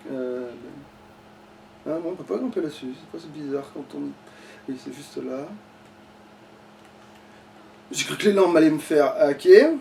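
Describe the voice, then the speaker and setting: Casting: male let's player, high-pitched, exasperated and foul-mouthed, casual running commentary, recorded off-mic. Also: a little slow, gravelly, pauses often, a little mellow. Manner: sweary, exasperated, rambling to himself. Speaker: let's play gamer. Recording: distant mic with light background noise.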